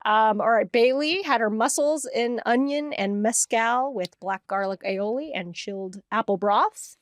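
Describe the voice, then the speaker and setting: A woman co-hosting a podcast, her voice pitched a little high. She laughs easily and reads out dish lists in a casual way. The sound is dry and close. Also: a little slow, no echo. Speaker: podcast co-host.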